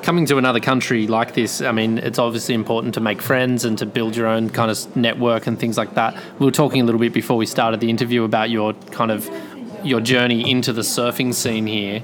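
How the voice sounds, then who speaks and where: A man's voice: mid-range voice, flat delivery, fast, hardly any pauses, fairly bright tone. A man from Australia, hosting a casual interview in an easygoing, thoughtful way. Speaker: podcast host, interviewer.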